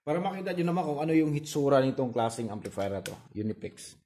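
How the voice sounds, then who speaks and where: A Filipino man with a soft, mellow voice gives a casual hands-on repair demonstration. He hosts as he works, explaining step by step and often checking that the listener is following. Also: mid-range voice, very animated, quick pace. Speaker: repair channel host.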